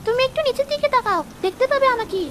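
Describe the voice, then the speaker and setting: A woman voicing a tiny, gentle flower fairy in a children's cartoon, sweet and appealing. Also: very high voice, very fast, background noise. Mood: pleading. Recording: music under the voice.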